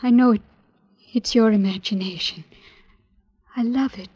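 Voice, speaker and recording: An actress playing a gentle, devoted young woman who speaks softly and often whispers, in an old radio drama. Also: on the high side, normal speed, breathy edge, dry recording. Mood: tender whisper. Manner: soft, tender, often whispering. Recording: dry lo-fi old radio recording.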